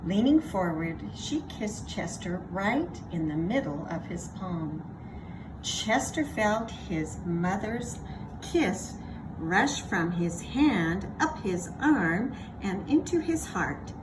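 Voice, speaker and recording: A woman narrating a children's story aloud in an expressive read-aloud style. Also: mid-range voice, slow, noisy background.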